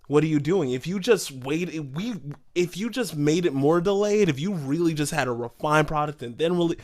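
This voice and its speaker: A man's voice: a little high, animated, moderate pace, darkish tone. A man co-hosting a podcast, giving casual opinions in a conversational way. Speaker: podcast co-host.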